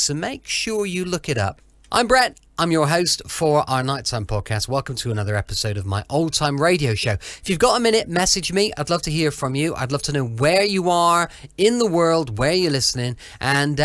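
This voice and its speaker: A British man presents a podcast in an informal, intimate style, as if to one listener. His voice sits fairly high and moves around a lot, and the sound is close and dry. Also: a bit bright, no echo. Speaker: podcast host. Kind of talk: chatty scripted podcast introduction.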